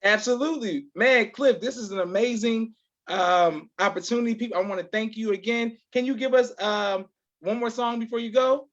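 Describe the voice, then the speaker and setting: A man's voice: high voice, moderate pace. A man hosting a casual live interview, effusive and affirming, often repeating his words. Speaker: podcast host. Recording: dull, lo-fi online call audio.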